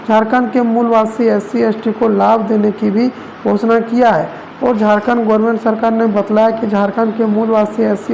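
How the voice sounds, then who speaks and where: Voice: medium pitch, vocal fry, a little mellow, rough recording. A news presenter narrating regional reports in wordy, repetitive phrasing. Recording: noticeable background noise.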